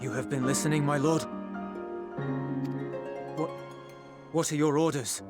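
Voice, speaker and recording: Man playing a proud, high-pitched imperial soldier. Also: fairly even intonation, over loud music, lightly acted. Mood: subdued, deferential. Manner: declamatory, formal, slow and deliberate. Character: proud imperial soldier, defiant, fiercely loyal. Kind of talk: dramatic video game voice acting.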